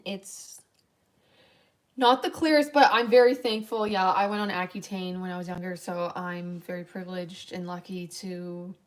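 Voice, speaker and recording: A young woman with a raspy voice, tired and a little worn at a late hour, chatting in a rambling, filler-heavy way as she answers her stream's chat. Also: fairly low pitch. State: tired, voice wearing thin late at night. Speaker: livestreamer. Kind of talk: late-night casual stream chat, reading chat aloud.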